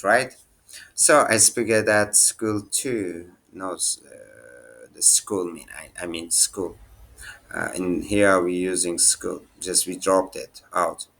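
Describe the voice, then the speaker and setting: Slow, halting man teaching a lesson. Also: lowish voice, fairly bright tone. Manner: halting, thinks aloud, restarts and fillers. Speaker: language teacher. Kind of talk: casual screen-share lesson.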